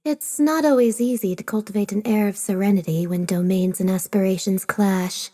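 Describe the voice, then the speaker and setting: A woman playing a gentle, polite tea goddess with a calm, measured manner in an audiobook. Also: mid-range voice, lightly acted. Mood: serene.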